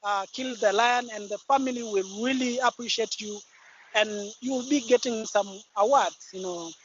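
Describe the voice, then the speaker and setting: A man from Tanzania with a Tanzanian accent, giving an informal personal account in a halting, explanatory way and often repeating himself. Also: very high voice. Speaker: guest conservationist. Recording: muffled internet call with steady background noise.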